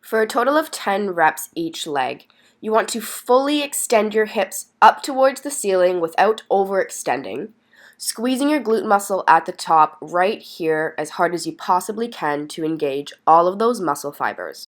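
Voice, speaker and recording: A woman's voice: medium pitch, animated, moderate pace. Stuffy-sounding woman giving workout instructions. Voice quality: slightly stuffy, congested. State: stuffy from being sick. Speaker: fitness vlogger and workout instructor.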